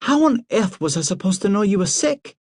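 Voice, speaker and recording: A man reading aloud and voicing a brisk, scolding young upper-class woman. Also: high voice, very animated, darkish tone, dry recording, clean studio sound, voice pushed up. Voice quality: crisp, brisk.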